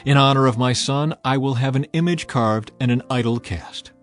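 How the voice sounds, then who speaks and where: A man's voice: medium pitch, normal speed, soft background music, lightly acted. A man voicing a pious, doting mother of a grown son in a dramatized Bible reading, solemn and devout.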